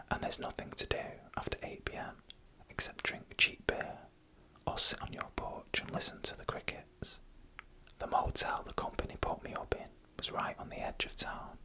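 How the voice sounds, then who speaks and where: A narrator telling eerie stories in a breathy, unvoiced ASMR whisper, close to the microphone, with slow suspenseful phrasing. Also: bright, forceful tone, low-quality recording.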